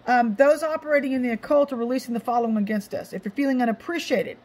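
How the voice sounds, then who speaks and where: Middle-aged woman, ministry host. Raspy, slightly high voice, emphatic and informal, hammering phrases by repetition. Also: quick pace, a little mellow. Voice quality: scratchy. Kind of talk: informal devotional exhortation.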